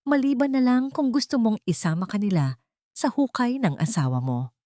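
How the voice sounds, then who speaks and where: A woman's voice: fairly high pitch, animated, moderate pace, mellow, no echo, studio quality, pitched above the performer's own voice, natural voice. A woman voicing a cold, greedy stepmother in an audio drama, curt, commanding and contemptuous. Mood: contemptuous, annoyed. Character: cold, greedy, menacing scheming stepmother on phone.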